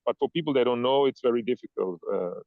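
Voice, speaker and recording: German guy, mixed german italian accent, gravelly, dry dull lo-fi sound, rambles a bit. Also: medium pitch, fast, no room sound. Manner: rambling, searching for words. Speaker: guest. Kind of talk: casual interview. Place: Ibiza.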